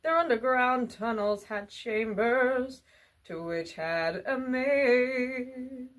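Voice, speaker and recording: Woman, children's storyteller, higher-pitched, extremely unhurried, drawn-out singsong phrasing. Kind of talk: bedtime storytelling for children, partly sung.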